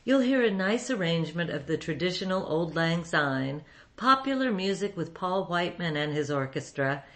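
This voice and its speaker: A woman radio host reading a scripted introduction to a program. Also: lowish voice.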